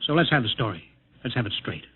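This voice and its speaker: An actor playing a gruff, anxious middle-aged American boss of a rocket test field in a 1950s radio drama, urgent and commanding. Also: male voice, medium pitch, very animated, fast talker, phone-quality sound, slight background noise, natural voice. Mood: stern, impatient. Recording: band-limited old radio recording.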